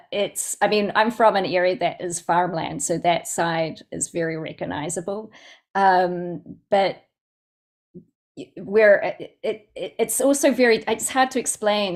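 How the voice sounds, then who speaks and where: A woman with a Southland New Zealand accent, answering questions as a poet in an informal, thoughtful way, often searching for her words. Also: medium pitch. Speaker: poet and guest speaker. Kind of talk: informal question-and-answer talk at a poetry event.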